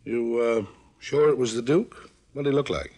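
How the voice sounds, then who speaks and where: An American actor playing an amiable, matter-of-fact police lieutenant in an old radio detective drama. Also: male voice, medium pitch, normal speed, warm tone, close to an everyday voice. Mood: friendly, businesslike. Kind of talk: 1940s-50s radio detective acting. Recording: faintly noisy old radio transcription.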